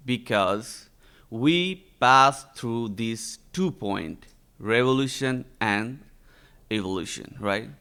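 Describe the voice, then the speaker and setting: A man from Nepal giving a conference lecture in English, speaking slowly and deliberately with frequent fillers and tag questions. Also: mid-range voice, fairly bright tone. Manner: slow and deliberate, frequent "uh" and "right?". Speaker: researcher giving a talk. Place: conference hall.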